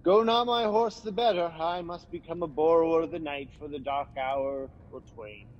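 A man's voice: on the high side, normal speed, long reverb tail, highs cut off, close to an everyday voice. A man playing Banquo, a wary nobleman. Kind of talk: amateur radio drama acting.

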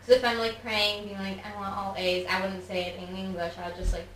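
A woman's voice: mid-range voice, flat delivery, a little fast, a bit bright, roomy sound, far from the mic, slight background noise, low-quality recording. A young woman guest in a casual interview, talking chattily with lots of "like" fillers. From United States. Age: young adult. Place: studio with office chairs.

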